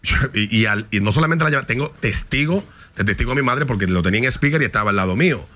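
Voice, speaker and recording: A man's voice: mid-range voice, lively intonation, racing, bright, forceful tone. A man with a Dominican accent, a guest in a podcast studio, telling a story with heat and plenty of swearing. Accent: Dominican Spanish. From Dominican Republic. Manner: heated, profane storytelling. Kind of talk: casual chat. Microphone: studio mic on stand.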